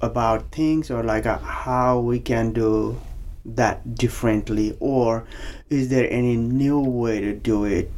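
A man in his forties from Nepal with a Nepali accent, speaking as a podcast guest in a halting, casual way, with many fillers and restarts. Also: mid-range voice, fairly even intonation, darkish tone. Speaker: podcast guest, banking officer. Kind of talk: casual interview.

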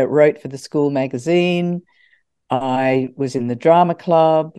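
A woman's voice: very deep voice, mellow. An older woman from Scotland, a former radio host, chatting in an interview and laughing at her own stories. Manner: chatty, laughs often, self-deprecating. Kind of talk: interview, anecdotal storytelling.

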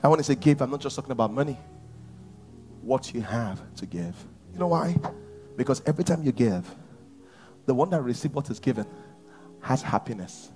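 A man preaching a sermon to his church, at times rising to shouts. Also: medium pitch, lively intonation, fairly quick, long pauses, soft background music. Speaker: pastor.

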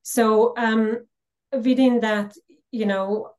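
Woman, Hungarian-Slovak accent, middle-aged, earnest, halting storyteller, podcast guest. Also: on the high side, steady tone, a little mellow. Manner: frequent "um" pauses, earnest and reflective. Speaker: podcast guest, empowerment coach. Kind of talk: personal storytelling interview.

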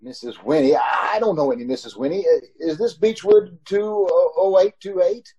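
A man playing a distraught, haunted young traveler in an old-time radio drama, with a husky, halting delivery. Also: sits high, mellow, highs cut off. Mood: shocked, panicked. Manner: halting, stammering.

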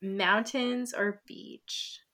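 A woman hosting a casual podcast interview, chatty and bubbly, full of fillers as she fires off quick questions. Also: mid-range voice, fairly even intonation, bright, forceful tone. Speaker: podcast host.

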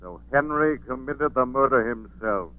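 An actor playing a menacing, unseen avenging crime fighter with slow, ominous, declamatory delivery in 1940s radio style. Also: male voice, medium pitch, expressive, no room sound, close to an everyday voice. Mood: accusing. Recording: muffled narrow-band 1940s radio recording.

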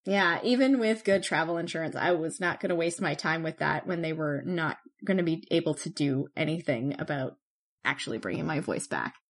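A woman co-hosting a podcast, telling stories in an animated, chatty way. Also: sits low, a little fast. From Canada. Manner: chatty, animated storytelling with laughs. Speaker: podcast co-host. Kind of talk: casual chat.

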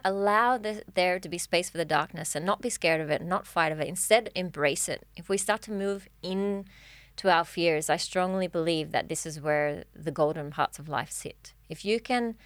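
A woman's voice: on the low side, normal speed, few pauses, dry recording. A woman life coach speaking as a podcast guest in an earnest, encouraging way, often restarting her phrases. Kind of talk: casual podcast chat.

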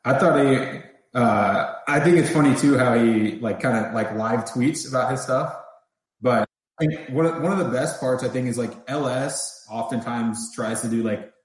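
A man's voice: mid-range voice, moderate pace. Man co-host in a very echoey room. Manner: amused, rambling storyteller. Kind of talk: casual podcast chat.

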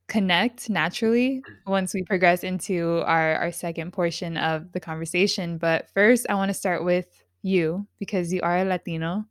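A woman's voice: on the low side, no room sound, studio quality. A woman hosting a podcast, talking in an effusive, enthusiastic and rambling way. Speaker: podcast host. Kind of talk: casual podcast interview.

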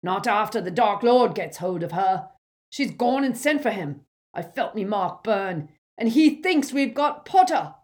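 A woman's voice: medium pitch, lively intonation, a little slow, pitched above the performer's own voice. A woman voicing a brutish, hot-tempered male wizard thug who talks in coarse dialect. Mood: ranting. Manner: loud, coarse dialect speech.

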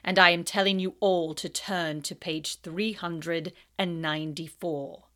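A woman's voice: lowish voice, steady tone. A woman reading as a cold, silky male teacher. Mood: cold, menacing. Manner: slow, quiet, icy and sarcastic.

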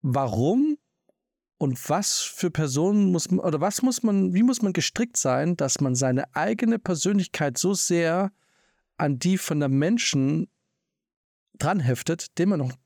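A man's voice: fairly high pitch, expressive, unhurried, a little mellow, dry recording, studio quality. A man co-hosting a casual podcast, posing reflective questions. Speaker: podcast co-host.

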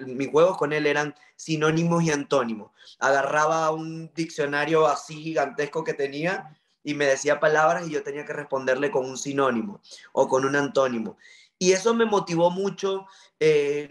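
A man in his thirties with a Venezuelan accent from Maracaibo talks about his past as an interview guest. His voice sits a little high for a man. He speaks earnestly and reflectively, pausing on fillers as he goes. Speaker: journalist guest. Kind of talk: interview storytelling.

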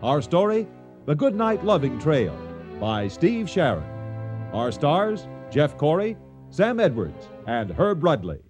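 A man's voice: a little high, wildly swooping pitch, takes it slowly, darkish tone, faint music behind. A man announcing a radio drama program in a dramatic scripted introduction style.

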